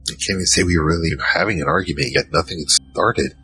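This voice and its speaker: An actor playing a giant troll, worried and soft-hearted, narrating in the first person in an audio drama. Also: male voice, sits low, quick pace, slight background noise. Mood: recounting, uneasy. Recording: music behind.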